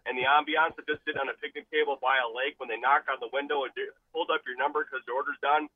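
A man's voice: mid-range voice, steady tone, racing, dry recording. A man calling in to a radio show over the telephone, chatting casually with enthusiastic, emphatic delivery. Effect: telephone line. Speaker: radio phone-in caller. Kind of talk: casual, chatty call-in talk. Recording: muffled, narrow telephone line.

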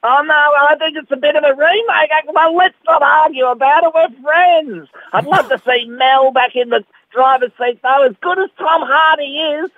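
A man's voice: very high voice, moderate pace, few pauses, no room sound, phone-quality sound. A man voicing a flamboyant, gushing male entertainment reporter in a theatrical, cooing comic radio interview. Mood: opinionated, cheeky.

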